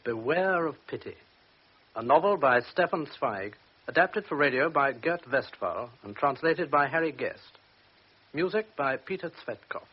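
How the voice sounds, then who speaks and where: Male announcer for radio play credits, fairly high husky voice, dull vintage broadcast recording. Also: expressive, unhurried.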